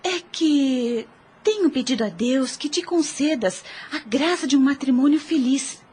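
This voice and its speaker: A woman's voice: sits high, measured pace, darkish tone. A woman with a Brazilian accent acting an affectionate, concerned younger sister with earnest, formal diction in an audio drama. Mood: earnest, hesitant.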